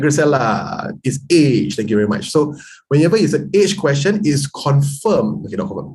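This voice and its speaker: A man leads a live online math lesson. His voice is slightly husky and sits at an ordinary middle pitch. He keeps up a chatty teaching patter and fires quick questions at his students. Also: darkish tone, poor audio. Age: 30s. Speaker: math tutor.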